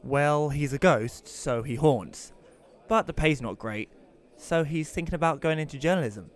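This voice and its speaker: A man's voice: on the high side, moderate pace, lightly acted. A man playing an awkward, apologetic widower telling his story in an audio drama, with a hesitant, self-conscious manner. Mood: wry. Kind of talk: first-person audio drama narration and dialogue.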